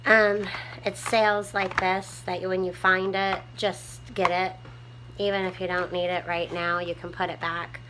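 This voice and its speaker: A woman with a small, soft voice, chatting casually to her viewers from home with lots of ums while she tidies up. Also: fairly low pitch, flat delivery, unhurried, background noise. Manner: rambling, many ums, homespun talk. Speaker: prepping vlogger. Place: home room, tidying a table.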